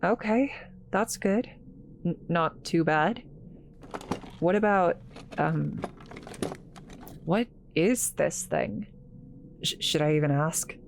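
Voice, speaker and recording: A woman playing an awkward, kind scientist in a roleplay audio drama, halting and apologetic, stammering as she talks to the listener. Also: fairly low pitch, vocal fry, slight background noise. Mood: apologetic, flustered.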